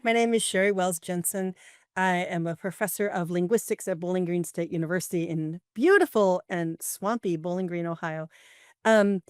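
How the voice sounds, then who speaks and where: A woman professor introducing herself on a panel, wry and relaxed. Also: lowish voice, no room sound, clean studio sound.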